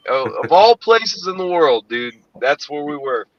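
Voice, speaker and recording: A man's voice: on the high side, very animated, measured pace, dry recording. A man chatting casually and rambling, with plenty of swearing, over an online call. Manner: profane, rambling. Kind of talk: casual chat. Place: indoors, online video call. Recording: slightly dull lo-fi audio.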